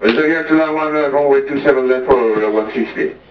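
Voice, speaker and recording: A man's voice: mid-range voice, quick pace. A man speaking as a pilot in radio readbacks, heard through a computer speaker. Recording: distant, roomy, muffled speaker playback.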